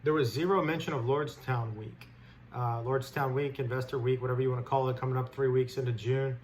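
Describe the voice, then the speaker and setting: Guy doing a blunt stock rant, fired up and informal, mid pitched voice, noisy background. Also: steady tone, a little fast, darkish tone. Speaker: stock trader host giving commentary. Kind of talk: unscripted opinionated rant. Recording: clear background noise.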